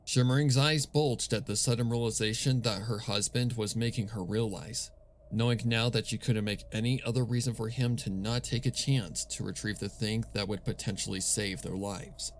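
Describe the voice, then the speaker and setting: A man narrating scripted fan fiction as a storyteller, measured and solemn in his delivery. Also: lowish voice, fairly even intonation, slight background noise.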